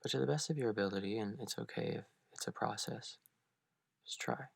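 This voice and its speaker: A man leading a beginners' guided meditation, speaking gently and unhurriedly with long pauses. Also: on the low side, vocal fry, husky, no echo.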